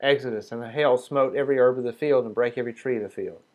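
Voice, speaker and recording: A man teaching a Sabbath group, reading passages aloud slowly and then explaining them in a loose, casual way with fillers and restarts. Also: medium pitch, highs cut off. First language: not Hebrew. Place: small group meeting room.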